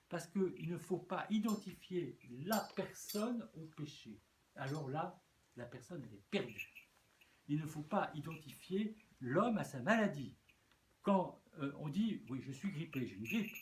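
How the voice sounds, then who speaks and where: A man's voice: on the high side, a little mellow. A man preaching a sermon as a bishop, earnest, often repeating words and restarting. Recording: echoey room with faint noise.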